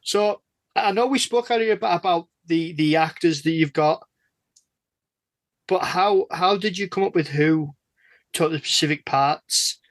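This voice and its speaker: A man's voice: fairly high pitch, unhurried, no echo. A man interviewing casually for a podcast, with a rambling, self-deprecating way of talking.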